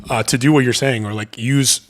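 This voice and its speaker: A deep-voiced man in his thirties tells his story as a podcast guest. He rambles loosely, piling up fillers and false starts, always casual and open. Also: steady tone, fairly quick. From Miami area. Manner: rambling, many fillers and restarts.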